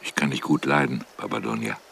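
A cantankerous, ailing villager in a radio drama. His gravelly voice sits extremely low and swings widely in pitch as he grumbles with dry sarcasm. Faint outdoor noise surrounds him. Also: big pitch swings, brisk, fairly bright tone, exaggerated voice. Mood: dry affection. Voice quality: very deep, gravelly. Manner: grumbling, dryly sardonic. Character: sickly, cantankerous, sardonic male villager.

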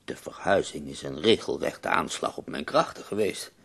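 An actor playing an ailing, melancholy but wry poet in a radio play, his voice gravelly, husky and weary. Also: rumbling low, lively intonation, normal speed, fairly bright tone, full character voice. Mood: weary, dejected.

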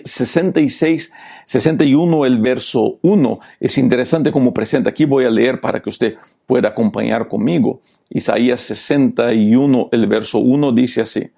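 Gravelly pastor. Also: male voice, medium pitch, mellow. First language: Portuguese. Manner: preachy, repeated rhetorical questions. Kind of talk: Bible lesson commentary.

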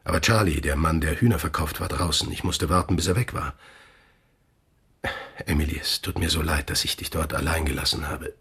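An actor playing a troubled, remorseful middle-aged father in a radio drama, speaking in a gravelly, breathy hush. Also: a bass voice, a little fast, exaggerated voice. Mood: shaken confession.

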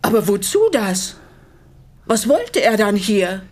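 A hard, resolute middle-aged widow, curt and commanding; mid-range voice, radio crime drama. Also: female voice, mid-range voice, very animated, takes it slowly, darkish tone. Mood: indignant.

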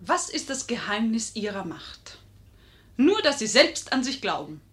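An actress playing a cunning, proud, flattering lady in a theatrical 1950s German radio play style, fervent and coaxing. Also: on the high side, unhurried. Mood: confiding, admiring.

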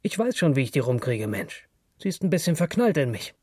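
A man's voice: a little high, sing-song, brisk, darkish tone, breathy edge, dry recording, studio quality. A man voicing a cocky young man who brags about women in coarse, self-assured slang, in an audiobook reading. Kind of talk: audiobook character dialogue.

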